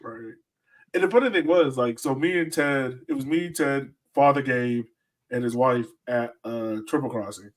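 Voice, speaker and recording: A man's voice: medium pitch, normal speed, distant mic. A man co-hosting a sports podcast, chatting casually and telling stories in slangy, acted-out quotes. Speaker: podcast co-host.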